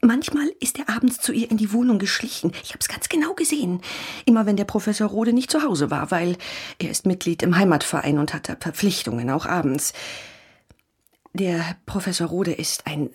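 An actress in a radio drama playing a prim, well-off middle-aged widow who gossips in a hesitant, insinuating and hushed manner. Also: female voice, on the low side, expressive, moderate pace, slightly rough. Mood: scandalized, insinuating. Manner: hesitant, insinuating, self-justifying.

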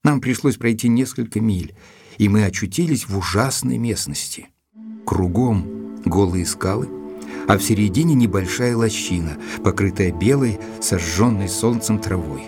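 An actor as an earnest gentleman narrator, music behind. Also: fairly low pitch, normal speed, natural voice. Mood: storytelling. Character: Doctor Watson, earnest educated gentleman reporting by letter. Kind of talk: dramatized audiobook narration of letters.

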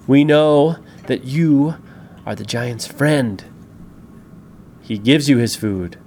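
A man's voice: medium pitch, takes it slowly, a little mellow, a little hiss or hum, natural voice. A man voicing a menacing rat from a gang in a children's story, insistent and commanding, repeating orders. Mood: conspiratorial. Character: bossy, menacing gang of big rats.

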